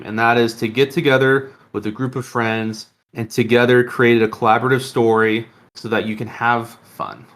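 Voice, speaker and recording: A man with a medium-pitched voice co-hosts a casual podcast. He thinks out loud earnestly, feeling his way through each sentence in a halting, reflective manner. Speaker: podcast co-host.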